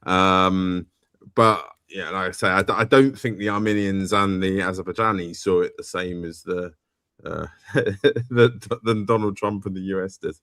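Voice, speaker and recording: A man's voice: low-pitched, a little slow, fairly bright tone, dry recording, clean studio sound. A man on a live panel discussion, speaking hesitantly with many fillers and restarts.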